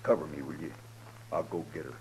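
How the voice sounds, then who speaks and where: An actor playing a menacing, lecherous outlaw in a 1950s radio western, halting and muttering as he talks. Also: rumbling low, steady tone, creaky. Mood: coaxing menace. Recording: noisy 1950s radio recording with hum.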